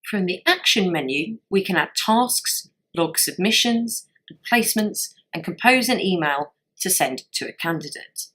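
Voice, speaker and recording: A woman narrating a scripted software tutorial as a trainer, explaining things step by step in an instructional way. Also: on the low side, expressive, unhurried, hardly any pauses, slightly rough.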